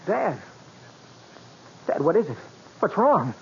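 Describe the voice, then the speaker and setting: An earnest young college man, anxious and urgent, his pitch swinging widely. The delivery is old radio drama, on a dull 1940s recording with faint hiss. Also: very animated, slow pace, creaky, fairly bright tone, heavily acted. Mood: alarmed. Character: anxious college-age son calling to his father.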